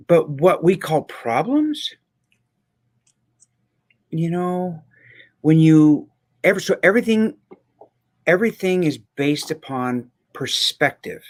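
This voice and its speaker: A man co-hosting a podcast in casual, reflective conversation, earnest and given to repeating words for emphasis. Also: fairly high pitch. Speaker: podcast co-host.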